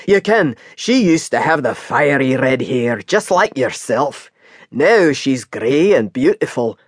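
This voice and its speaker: A narrator putting on a slow, kindly Scottish cabbie. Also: male voice, mid-range voice, breathy edge, no echo, clean studio sound, voice pushed down. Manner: slow, warm, folksy. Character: older male Scottish taxi driver, kindly and chatty.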